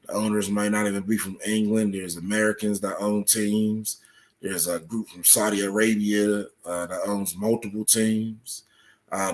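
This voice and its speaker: Male podcast guest, lowish pitch, measured and analytical, explanatory conversational delivery. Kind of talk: explanatory interview talk.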